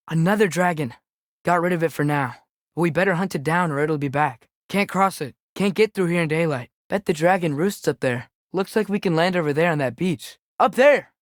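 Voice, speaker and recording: A man's voice: high-pitched, fairly bright tone, no echo, clean studio sound. A young male actor with an American accent playing an earnest, curious teenage boy adventurer in a video game, with a light youthful voice and casual, observant delivery. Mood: alert, excited. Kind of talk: video game companion dialogue.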